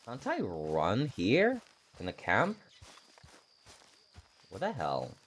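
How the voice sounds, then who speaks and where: Male streamer, very low voice, unhurried, halting and self-deprecating game chat. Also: wildly swooping pitch, slight background noise. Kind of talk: casual playthrough commentary.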